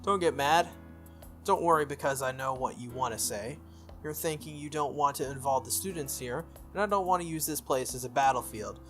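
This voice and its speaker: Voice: mid-range voice, a little fast, a bit bright, lightly acted. A reader voicing a confident, bossy schoolgirl. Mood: teasing. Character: confident, teasing, bossy teenage schoolgirl mage. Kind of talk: visual novel dialogue read aloud. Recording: music under the voice.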